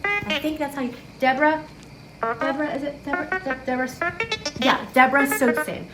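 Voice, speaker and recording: Roomy distant recording, high-voiced woman, children's story-time host, playful, expressive read-aloud. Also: fairly quick. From lives in New York City. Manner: chatty, playful, asks young listeners questions. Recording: roomy, distant mic with loud music.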